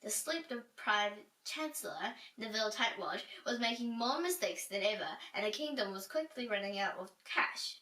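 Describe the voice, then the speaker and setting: A woman reading a children's storybook aloud in an animated, dramatic and expressive way. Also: mid-range voice, moderate pace, few pauses. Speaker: storybook reader. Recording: roomy echo, distant from mic.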